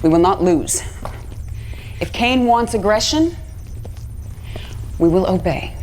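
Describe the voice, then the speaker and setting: An actress playing a proud, resentful military commander of a fanatical army in a video game cutscene, intense and commanding. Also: female voice, medium pitch, big pitch swings, slow, a little mellow, slight background noise. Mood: resolute, urgent.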